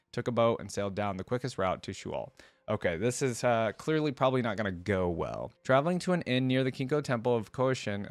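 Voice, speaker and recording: A man hosting a reaction video, reading aloud and breaking in with casual, curious commentary as he thinks out loud. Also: lowish voice, no echo. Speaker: host of a lore reaction video.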